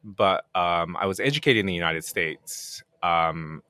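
A middle-aged man from Japan, a native Japanese speaker speaking English, chatting as a podcast guest in a relaxed, self-deprecating way with many fillers. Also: low-pitched, unhurried, no room sound. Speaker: podcast guest, game producer. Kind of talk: casual interview chat.

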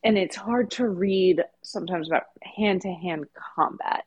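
A woman's voice: lowish voice, moderate pace, a little mellow, husky, dull audio. A woman co-hosting a podcast, discussing fiction in a casual, conversational and emphatic way. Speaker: podcast co-host.

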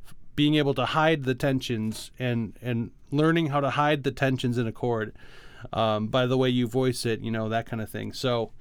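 A man with a clear, resonant voice co-hosts a podcast in a very dry-sounding space. He talks shop about music casually, in loose, informal phrasing scattered with "like" and "you know". His pitch sits in the middle of the male range. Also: steady tone, normal speed, no echo. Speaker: podcast co-host. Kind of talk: casual technical talk about music arranging.

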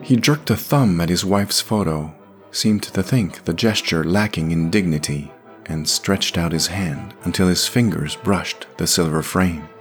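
Deep-voiced man narrating audio fiction. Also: a little slow, soft background music.

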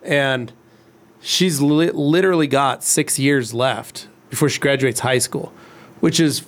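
A man's voice: medium pitch, unhurried. A man in his forties co-hosting a studio talk show, speaking in a reflective, fatherly way. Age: 40s. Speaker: co-host of detailing talk show. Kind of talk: casual studio chat.

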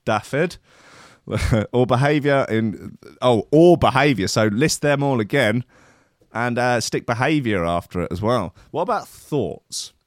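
A man's voice: mid-range voice, dry recording, clean studio sound. Sardonic man riffing on a news story. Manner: sardonic, mocking asides, laughs at own jokes. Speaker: comedy podcast host reading news. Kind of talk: comedic news commentary.

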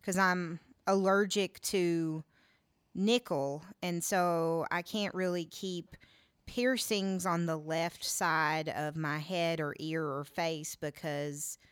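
A woman with a deep, smooth voice who speaks slowly and steadily, offering brief personal asides. The sound is close and dry, with no room around it. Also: steady tone, no room sound. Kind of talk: casual chat.

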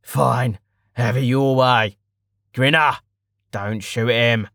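A man's voice: medium pitch, steady tone, takes it slowly, a bit bright, dry recording, clean studio sound. A man voicing an angry, swaggering bandit gang boss who barks and taunts, in a fantasy audiobook. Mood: taunting. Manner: barking, taunting.

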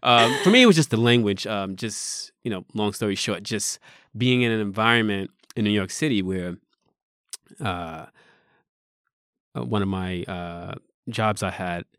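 A man hosting an interview, relaxed and chatty, with many fillers and agreeing murmurs. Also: fairly low pitch, unhurried, clean tone, no room sound, studio quality. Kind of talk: casual conversational interview.

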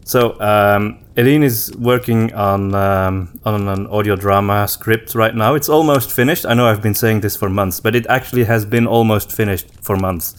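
A man with a fairly low voice hosts a relaxed, conversational podcast. He thinks out loud, leaving pauses as he puts his thoughts together. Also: smooth. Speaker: podcast host. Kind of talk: casual conversational podcast chat.

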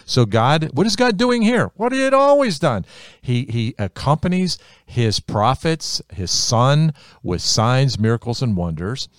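A man's voice: medium pitch, a little slow, dry recording, studio quality. Emphatic man teaching Scripture. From America. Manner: animated, emphatic, repeats phrases for effect. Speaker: Bible teacher. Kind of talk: conversational Bible teaching.

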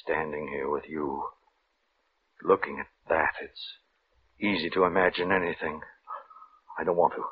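An actor playing a troubled minister, grave, fearful and morally firm, pleading haltingly in old-time radio drama style. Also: male voice, very deep voice, fairly even intonation. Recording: muffled, noisy old radio recording.

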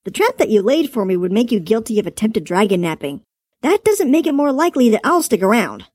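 Casting: woman putting on a small male storybook dragon, light and airy but commanding, pitched a bit high, dry close sound. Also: sing-song, a little mellow, no echo. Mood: stern. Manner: stern, measured, faintly teasing. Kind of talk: audiobook character voice.

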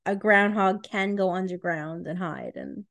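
A woman's voice: mid-range voice, a little slow, vocal fry, a bit bright. Blunt, joking woman podcaster. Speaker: podcast co-host. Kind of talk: casual chat.

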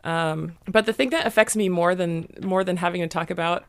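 A young woman with a hoarse, worn voice, co-hosting a casual podcast and talking haltingly, full of fillers and dry self-mocking humor. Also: fairly low pitch. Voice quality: hoarse, gravelly. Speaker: podcast co-host.

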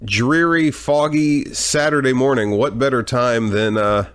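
A man's voice: medium pitch, a little slow, clear, resonant tone. A man hosting a movie marathon podcast, a little congested from a cold, with wry joking remarks. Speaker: podcast host. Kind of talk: casual chat.

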